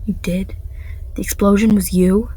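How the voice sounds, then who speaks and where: A woman's voice: mid-range voice, fairly even intonation, moderate pace, warm tone, slight background noise, natural voice, low-quality recording. A woman playing a defiant, haunted young woman on the run, telling her story earnestly in a radio drama. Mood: confused, defensive. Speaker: lead actor and narrator in an amateur radio drama. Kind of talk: first-person dramatic narration and radio drama acting. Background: music.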